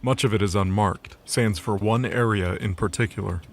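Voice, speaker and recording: A man's voice: fairly low pitch, moderate pace, vocal fry. A man narrating a scripted audio drama as its storyteller.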